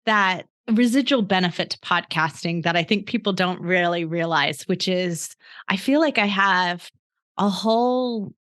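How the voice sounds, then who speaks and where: A woman's voice: fairly low pitch, measured pace, a bit bright. A woman hosting a podcast interview, upbeat and encouraging, laughing easily as she asks questions. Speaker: podcast host. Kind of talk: casual interview chat.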